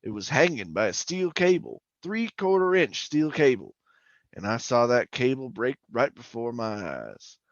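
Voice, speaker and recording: A man's voice: medium pitch, sing-song, measured pace, dry recording, muffled recording. A man playing a jittery, haunted oil rig worker telling his suspense story in colloquial, stammering old-time radio style. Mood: uneasy recounting. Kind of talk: old-time radio drama narration, first-person suspense.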